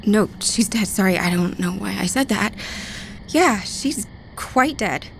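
A woman's voice: mid-range voice, lively intonation, a little slow, gravelly, a little hiss or hum, low-quality recording. An actress playing a tired, scattered young woman grieving her aunt, who blurts things out awkwardly. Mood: flustered.

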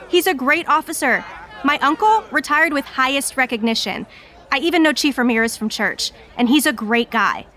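An actress playing a self-righteous, defensive pro-police counter-protester, speaking emphatically in an audio drama street interview. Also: female voice, sits high, sharp tone. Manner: emphatic, indignant.

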